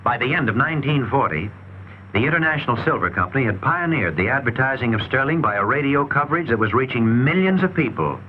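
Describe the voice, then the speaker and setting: Male narrator for a 1940s sales training film: emphatic, lively intonation, dull lo-fi period sound. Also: mid-range voice, fast talker. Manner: emphatic, rhetorical, salesmanlike delivery.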